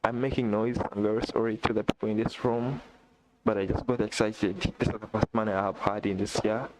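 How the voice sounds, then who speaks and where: A man from Uganda, not a native English speaker, chatting excitedly on a live stream, repeating himself and talking straight to a viewer. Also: on the low side, a little fast, background noise. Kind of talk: casual live-stream chat. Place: indoor room.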